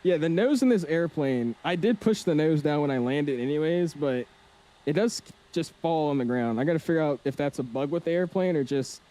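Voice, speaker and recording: Male streamer, casual and excitable, warm-toned voice. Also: fairly high pitch, brisk. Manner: joking, excitable. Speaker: flight sim streamer. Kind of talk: casual chat while flying.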